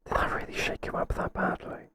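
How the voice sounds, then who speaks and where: A man acts out a male boss in an intimate ASMR roleplay. He whispers throughout in a breathy, halting way. He comes across as flustered and professional, kind but firm. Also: close to an everyday voice. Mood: apologetic, soft. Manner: hesitant, halting, gently firm.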